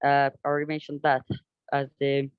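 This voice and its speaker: A young man with a Colombian Spanish accent, presenting a class podcast project in halting English, often stopping to search for words and restart. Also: medium pitch, monotone, clean tone, no echo. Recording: dull, dry close-mic recording.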